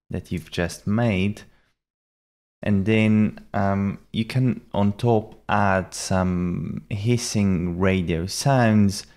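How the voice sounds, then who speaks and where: A young adult man explaining software step by step in a measured, instructional way. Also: sits low. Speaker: tutorial presenter. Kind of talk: step-by-step software tutorial narration. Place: small indoor room at a computer.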